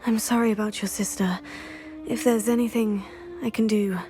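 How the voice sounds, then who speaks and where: A woman playing a polite, curious, composed teenage witch in a video game, with a courteous, measured manner. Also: medium pitch, steady tone, mellow, lightly acted. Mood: sympathetic. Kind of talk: video game cutscene acting. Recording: music behind the voice.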